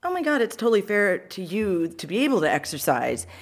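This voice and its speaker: A woman's voice: mid-range voice, sing-song, fairly quick. A woman co-hosting a casual advice show, talking in a blunt, emphatic, exclamatory way with strong opinions.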